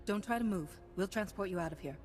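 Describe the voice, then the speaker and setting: An actress playing a resolute, wry heroine in a video game, speaking in a direct and assured way. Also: female voice, medium pitch, quick pace, darkish tone, faint music behind, close to an everyday voice. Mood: steady. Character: resolute, wry Republic heroine taking charge. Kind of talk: video game cutscene acting.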